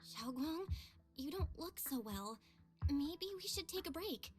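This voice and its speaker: A woman voicing a gentle, caring comrade in game dialogue, soft and concerned. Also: high-pitched, lively intonation, measured pace, faint music behind. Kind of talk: voiced game story dialogue.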